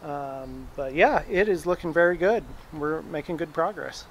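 A man in his thirties casually explaining his camper renovation while he works, with a relaxed, filler-heavy way of talking. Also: fairly high pitch, moderate pace, noisy background. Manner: relaxed, chatty, many "uh" fillers. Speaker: DIY camper renovation vlogger. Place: backyard outdoors. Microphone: handheld camera close up.

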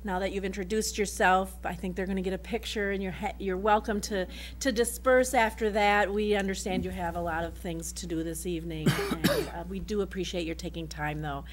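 A middle-aged woman as board president, thanking new staff in measured, gracious remarks. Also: medium pitch, noisy background. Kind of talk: formal meeting remarks. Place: board meeting room. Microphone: desk mic.

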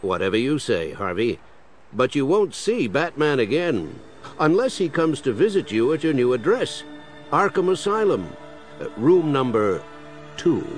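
An actor playing a dutiful, questioning police commissioner, earnest and plain-spoken, in an audio drama. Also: male voice, mid-range voice, sing-song, unhurried, faint music behind. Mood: wry, resigned.

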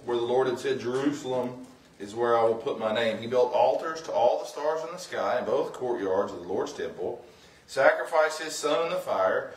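Middle-aged man preaching from far off, roomy sound. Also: medium pitch. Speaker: guest preacher. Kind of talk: sermon with scripture reading. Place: church sanctuary, indoors. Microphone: far camera. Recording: roomy, distant from the microphone.

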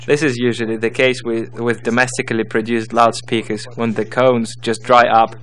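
A man's voice: mid-range voice, flat delivery, normal speed, fairly bright tone. A man giving scripted, step-by-step instructional narration as the narrator of a tutorial.

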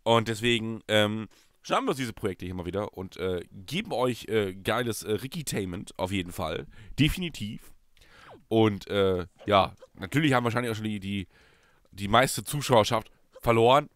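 A young German man commenting casually on his gameplay as a let's play host, his voice still hoarse from a cold, jumping between loud bursts and low mutters. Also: animated, moderate pace, no room sound.

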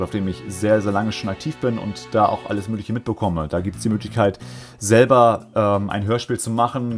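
A man with a calm voice talking informally and explaining his work as a narrator. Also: fairly low pitch. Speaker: fan dub narrator and video creator. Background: background music.

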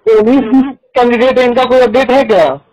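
A man's voice: very high-pitched, fast talker, darkish tone, no echo, rough recording. A man making a phone inquiry, asking for information.

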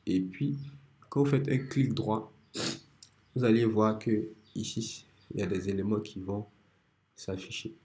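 A man with a cold, calmly walking through a software design tutorial step by step over a screen recording. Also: fairly low pitch, measured pace, pauses often. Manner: relaxed, step-by-step explaining with many restarts. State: has a cold.

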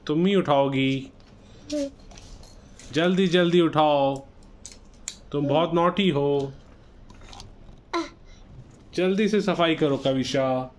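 Indian dad, thirties, quick repetitive prompts to a little kid, faintly noisy home phone recording. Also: male voice, a little high. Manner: coaxing, repeats short questions and prompts. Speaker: father filming a kids' show with his child. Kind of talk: casual chat with a small child. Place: small living room at home. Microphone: phone camera at arm's length or further.

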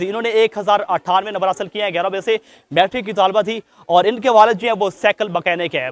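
A man's voice: sits high, fairly bright tone. A man from Pakistan hosting a street interview, speaking in a formal, polite manner. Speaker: host.

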